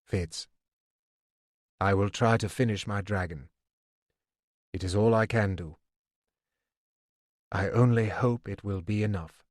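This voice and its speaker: A weary, failing old king speaks in a low, hushed voice, as a man acts him out in an audiobook. His delivery is slow and heavy, and the recording is close and dry. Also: no echo. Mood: weary, grave. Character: weary, failing aging king, grave and soft-spoken.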